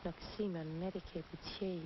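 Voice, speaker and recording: A woman's voice: lowish voice, fairly even intonation, normal speed, close to an everyday voice. A woman playing a seductive temptress in a vintage shaving-cream commercial. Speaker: commercial spokeswoman. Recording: dull old TV ad, music behind.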